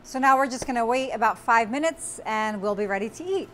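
A woman's voice: fairly high pitch, animated, a little fast, a bit bright, a little hiss or hum. A woman in her forties hosting a cooking show, giving friendly step-by-step instructions as she cooks in a small studio kitchen on a lapel mic. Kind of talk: cooking demonstration.

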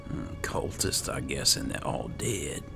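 A man muttering to himself in low-key commentary as he plays through a roleplaying video game. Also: huge pitch swings, measured pace, over music. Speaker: gameplay host.

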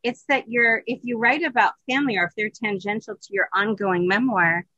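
A woman's voice: fairly high pitch, steady tone, brisk, bright, forceful tone, no room sound, muffled recording. A woman in her sixties hosting conversationally over a video call. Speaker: host, interviewer. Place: home office, indoors. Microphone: video call computer microphone.

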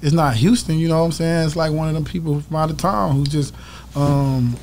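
A man talks casually into a studio mic as a podcast guest. His voice sits a little high, and he speaks in slang, circling back over his phrases. There is slight background noise. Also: steady tone, a little fast, a little mellow. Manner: colloquial, slangy, repeats himself. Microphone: close studio mic.

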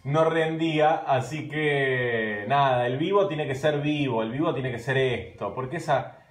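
An Argentine man in his thirties talks easily and animatedly to his live audience, gesturing as he goes. His voice sits at an ordinary middle pitch for a man. The phone at arm's length picks up a roomy, echoey kitchen. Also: unhurried, over music. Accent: Argentine Spanish. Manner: chatty, animated, gesturing while talking. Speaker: live stream host. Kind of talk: casual live stream chat. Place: home kitchen at night, indoors. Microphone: phone camera at arm's length.

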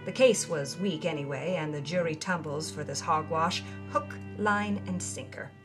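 A woman hosting a story podcast, reading journal entries in a wry, expressive way. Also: low-pitched, moderate pace, over music. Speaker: host and narrator.

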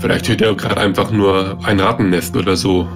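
Male role-play player, fairly low pitch, casual, prominent guitar backing. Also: fairly even intonation, brisk. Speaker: tabletop role-playing player. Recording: clear guitar music behind the voice. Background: guitar music.

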